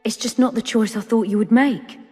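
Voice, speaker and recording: An actress playing a young, blunt and earnest female warrior monk in a fantasy game, plain-spoken and husky. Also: on the high side, brisk, darkish tone. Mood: reproachful. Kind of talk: dramatic fantasy game acting. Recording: long reverb, music behind.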